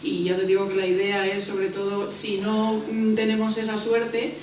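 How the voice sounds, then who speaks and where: A woman in the audience answers questions with hesitations and fillers. She sounds far off in a very reverberant hall. Clear background noise sits under her voice. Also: medium pitch, monotone, normal speed, a little mellow. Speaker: audience member at a panel. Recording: very echoey hall, distant mic, clear noise.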